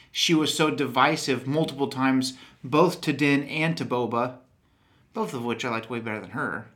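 A man from Texas hosting a casual chat, talking in a rambling, animated way that keeps breaking off and restarting. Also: fairly high pitch. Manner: rambling, animated, self-interrupting. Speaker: podcast host.

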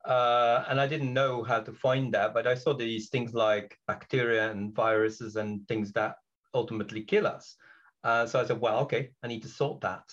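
A man from Belgium talks about himself as a podcast guest, with English as a language he learned later. He speaks reflectively and hesitantly, pausing often with fillers and restarting his sentences. His voice sits at a middle pitch, and the recording sounds slightly dull and lo-fi. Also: fairly even intonation, a bit bright. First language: not English. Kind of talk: podcast interview.

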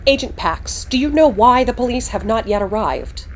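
A woman's voice: fairly high pitch, lively intonation, normal speed, dull audio, slight background noise. An actress playing a brash, bossy female TV news reporter in an audio drama. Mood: reporting. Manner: bossy, pushy.

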